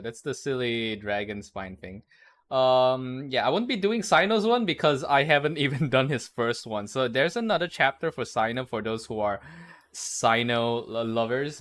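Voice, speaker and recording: A man's voice: mid-range voice, fairly bright tone. A man livestreaming a game, reacting and chatting casually in a loose, off-the-cuff way. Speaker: livestreaming gamer.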